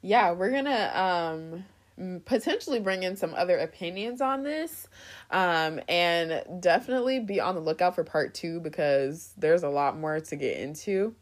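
Playful woman podcast co-host from Africa, slightly low voice, agreeable and chatty. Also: expressive, moderate pace. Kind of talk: casual chat.

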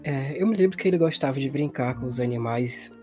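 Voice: mid-range voice, a little fast, mellow, faint music behind, lightly acted. A game master voicing a hesitant, cooperative mother in a tabletop mystery game. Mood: plain, reflective.

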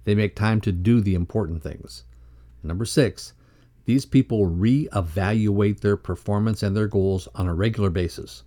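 A man co-presenting a motivational podcast lesson, reading scripted text aloud in a measured, instructional way. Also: on the low side, mellow.

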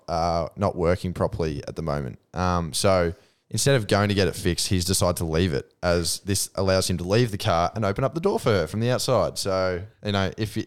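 A man's voice: low-pitched, smooth. An Australian man on a podcast panel, joking along in casual banter.

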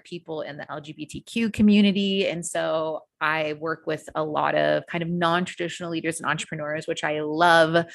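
An American woman who works as a coach, telling her story as a podcast guest in a casual, energetic way with frequent fillers and the odd swear word. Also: sits low, creaky, no room sound. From United States.

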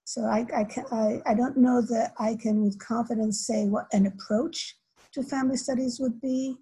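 Breathy, halting older woman scholar. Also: a little high, fairly even intonation, dark tone, rough recording. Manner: halting, many restarts and fillers. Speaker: scholar being interviewed. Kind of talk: academic interview.

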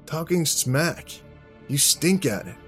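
A man's voice: medium pitch, lively intonation, measured pace, faint music behind, voice pushed up, lightly acted. A man voicing a cocky, sarcastic young American fighter who taunts his opponent, in an audiobook. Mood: mocking.

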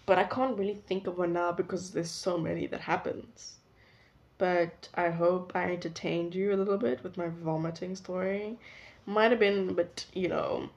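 A woman's voice: fairly low pitch, creaky, darkish tone. A young woman in her late teens with a South African accent, chatting casually to camera in a rambling, self-interrupting way. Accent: South African English. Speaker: vlogger. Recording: dull lo-fi recording.

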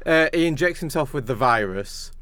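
A man's voice: on the high side, lively intonation, normal speed, bright, forceful tone, dry recording. A man co-hosting a podcast, chatting casually about films and games. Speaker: podcast co-host. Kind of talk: casual film and game discussion.

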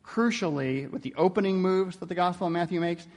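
A man's voice: high-pitched, fairly quick, a little mellow, big-room sound. A man giving an informal theology lecture in a conversational way, often checking in with rhetorical questions.

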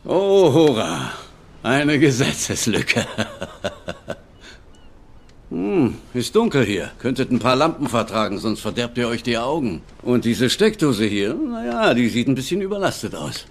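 A man's voice: medium pitch, big pitch swings, measured pace. A man playing a stern, sarcastic middle-aged father who lectures and nags in a German audio drama dub.

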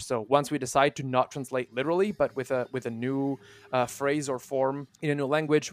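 A man, a guest on a podcast, explaining his work in a casual, halting way with many restarts. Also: mid-range voice, no room sound. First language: Italian. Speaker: guest, translation manager.

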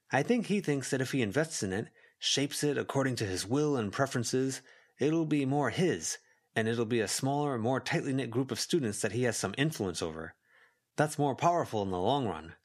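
A wary teenage student who knows the score, read by a man. The delivery is explanatory and conversational storybook dialogue with a lively, moving pitch. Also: normal speed, close to an everyday voice. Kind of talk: audiobook character dialogue.

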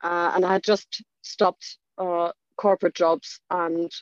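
Halting woman. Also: fairly low pitch, level tone, dry recording. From Germany. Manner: halting, full of "like" and restarts. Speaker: speaker sharing her life story. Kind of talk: candid personal storytelling. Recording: dull lo-fi dry recording.

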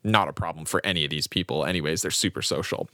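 A man's voice: rumbling low, quick pace, bright, forceful tone, no echo, clean studio sound. A young Canadian man co-hosting a podcast, telling a rambling story in casual chat and laughing often. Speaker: podcast co-host.

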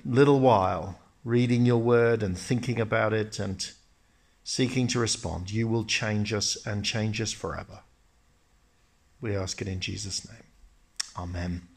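A man who is a pastor, praying and then telling a story in a measured, storytelling way, speaking in a church. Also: medium pitch. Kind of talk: sermon with narrated story.

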